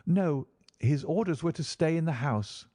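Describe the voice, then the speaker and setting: A man reads as a frightened young gentleman, his voice lifted well above a mellow, dry baseline and pitched with earnest pleading. The helpless, anxious tone holds steady throughout. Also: measured pace, no echo. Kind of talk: audiobook character reading.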